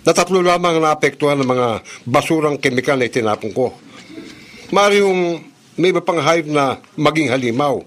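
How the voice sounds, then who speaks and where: A man's voice: on the high side, expressive, brisk, fairly bright tone. A man playing a guilt-ridden, fearful scientist in a radio drama, speaking earnestly and respectfully. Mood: worried, insistent.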